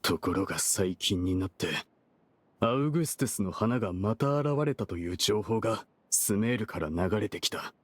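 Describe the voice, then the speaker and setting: An actor playing a brash, shifty perfume merchant in an anime game dub, talking in a rough, argumentative, casual way. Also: male voice, on the low side, animated, normal speed, no echo. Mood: explanatory. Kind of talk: Japanese anime game dubbing.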